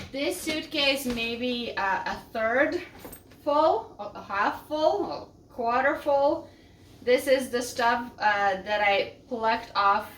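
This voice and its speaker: Woman vlogger, voice rough from a cold, loud and rambling, slightly high, recorded from across an echoey room. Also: a little hiss or hum. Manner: loud, rambling, self-deprecating. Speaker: vlogger and small business owner. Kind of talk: casual chatty vlog. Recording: roomy echo, distant mic.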